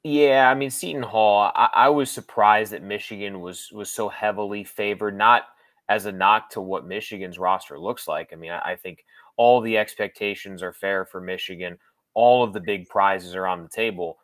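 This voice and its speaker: A male sports broadcaster talks through his analysis conversationally, with an emphatic, explanatory delivery. His pitch is on the low side, and the recording is very dry, free of room sound. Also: normal speed, a bit bright, dry recording. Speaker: sports broadcaster, interview guest.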